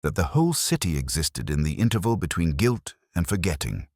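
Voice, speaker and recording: Male narrator for literary detective fiction: extremely low pitch, expressive range, measured and brooding, close dry sound. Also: no room sound. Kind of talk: scripted first-person detective story narration.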